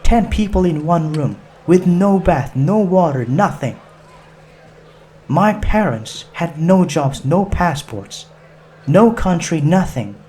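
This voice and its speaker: A man voicing an angry, bitter twenty-year-old woman hijacker in an audiobook, speaking with slow, defiant emphasis. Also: a little high, expressive, mellow, a little hiss or hum, pitched above the performer's own voice. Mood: bitter, impassioned.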